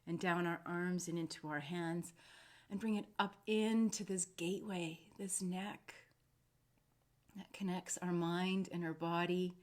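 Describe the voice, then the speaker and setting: Slow, low-voiced woman guiding meditation. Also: fairly even intonation, darkish tone. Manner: soothing, unhurried, meandering with pauses. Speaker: host and meditation guide. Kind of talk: unscripted live talk and guided meditation.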